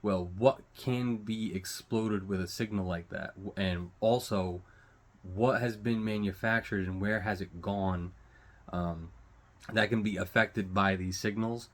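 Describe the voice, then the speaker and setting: Male online commentator, lower register, unscripted and rambling, loose casual language with swearing, often hunting for the next word. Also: fairly even intonation. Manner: halting, rambling, searching for words. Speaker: host of his own online show.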